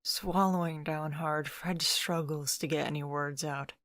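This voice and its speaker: Female story narrator, deep register, scripted audiobook-style read, dry studio sound. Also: steady tone, unhurried, fairly bright tone, dry recording.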